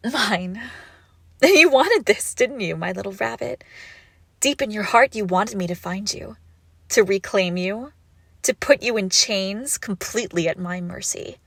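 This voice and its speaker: A woman performs an icy, obsessive crime boss clinging to a former lover in an intimate audio drama. Her delivery is slow and deliberate, with gravelly fry in the voice and a menace that swings between hushed and unhinged. The sound is dry and close. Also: medium pitch, animated, no echo, full character voice. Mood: possessive, gloating.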